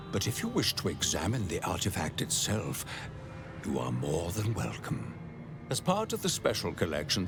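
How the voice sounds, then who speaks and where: A grovelling, lecherous servant of hell, low and gravelly, drawing out florid, courtly phrases. His delivery is theatrical and swoops with oily formality, as game music plays behind. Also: full character voice. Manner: fawning, florid, formal, drawn-out. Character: obsequious, lewd, broken-backed archivist of hell, male. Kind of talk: theatrical video game acting.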